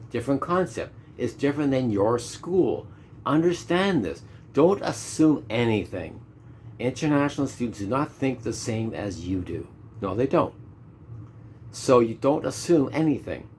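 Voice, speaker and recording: A Canadian man in his fifties with a mid-range voice delivers a teaching talk. He drives his points home with repetition and rhetorical questions. A faint hiss sits under the recording. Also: medium pitch. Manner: emphatic, repeats key points, rhetorical questions. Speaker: ESL teacher. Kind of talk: instructional monologue.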